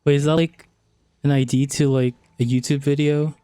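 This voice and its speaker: A man's voice: on the high side, flat delivery, moderate pace, dark tone, clean tone, dry recording, soft background music. A young man streaming a video game, chatting casually in an informal, slangy way into a close microphone. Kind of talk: casual chat while gaming.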